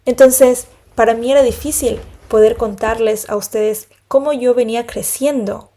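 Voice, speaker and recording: A woman hosting a podcast, talking to her listeners in an earnest, unhurried way about her own story, with pauses between phrases. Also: a little high, steady tone, mellow. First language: Spanish. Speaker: podcast host, pilates and yoga teacher. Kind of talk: personal reflective monologue.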